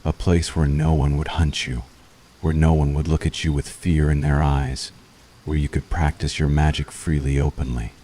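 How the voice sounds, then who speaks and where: A man playing a weary, conflicted witch hunter in an intimate ASMR roleplay, speaking softly and earnestly to the enemy he has grown tender toward. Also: very deep voice, level tone, moderate pace. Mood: wistful, tender. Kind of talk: ASMR boyfriend roleplay, intimate scripted monologue.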